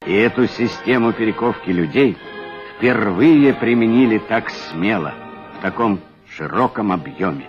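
A man with a lowish voice gives documentary commentary. The archive sound is dull and lo-fi, and music is clearly heard behind him. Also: expressive, a little slow.

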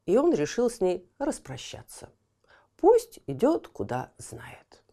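A woman narrating a fairy tale for children in a gentle, expressive storytelling style, often dropping to a hushed whisper. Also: mid-range voice, slow pace.

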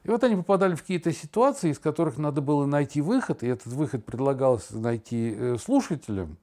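A man's voice: on the high side, sing-song, fast, a little mellow. An older man chatting casually as a podcast host, telling stories in a theatrical, digressive manner. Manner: theatrical, digressive, illustrates with sound imitations and examples. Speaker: podcast host, father talking with his daughter. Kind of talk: casual podcast conversation, storytelling.

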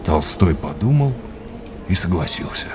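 An actor playing a courteous, erudite art lecturer in an audio drama, with an old-fashioned, didactic way of talking. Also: male voice, low voice, sing-song, a little slow, noisy background. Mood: engaged, explanatory.

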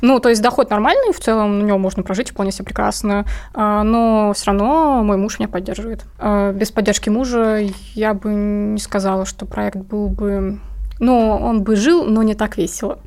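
A woman's voice: medium pitch, moderate pace, darkish tone. A woman speaking casually and candidly as an interview guest, informal and often correcting herself mid-sentence.

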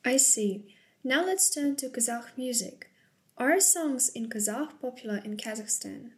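A woman's voice: fairly high pitch, expressive, unhurried. A woman interviewer asking questions in a curious, friendly manner.

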